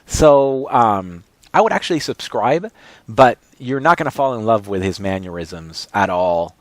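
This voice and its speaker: A man's voice: fairly low pitch, lively intonation, a little slow, no echo. A man hosting a cycling channel, chatting casually and rambling with many fillers and restarts, in a voice he says people call boring. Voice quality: described as boring-sounding. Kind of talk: casual chat reviewing channels.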